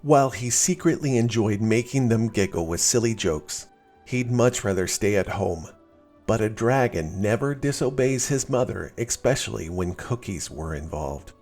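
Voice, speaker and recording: A man reading a scripted children's story as narrator, in an expressive storytelling style. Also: lowish voice, normal speed, soft background music.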